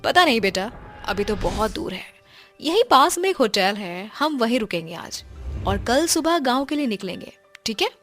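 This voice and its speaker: A woman voicing a calm, reassuring middle-aged mother in an animated storybook. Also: a little high, normal speed, soft background music. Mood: soothing. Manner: soothing, coaxing.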